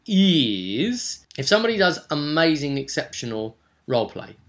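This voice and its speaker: A man rambling through a vlog as a game master, animated and emphatic, repeating words for stress and slipping into imagined players' remarks. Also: on the high side, moderate pace. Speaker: tabletop game master and vidcast host. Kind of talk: rambling vlog commentary on a roleplaying game session.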